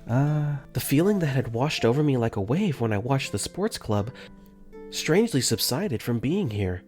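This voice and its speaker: Expressive young man narrating a visual novel. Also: mid-range voice, normal speed, soft background music. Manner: earnest, flustered, expressive. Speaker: narrator and lead in fan dub.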